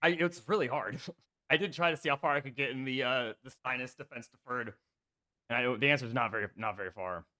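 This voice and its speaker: A man co-hosting a casual chess stream, a lively storyteller with a slightly raspy voice who acts out quotes and swears freely. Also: mid-range voice, fairly quick. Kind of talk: casual chat.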